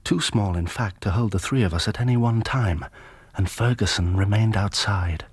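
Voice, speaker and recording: An actor playing an earnest, inquisitive young engineer in a radio drama, with a British accent and a polite, well-spoken manner. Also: male voice, fairly low pitch, moderate pace, breathy edge. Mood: uneasy recollection. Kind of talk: radio drama acting and first-person narration.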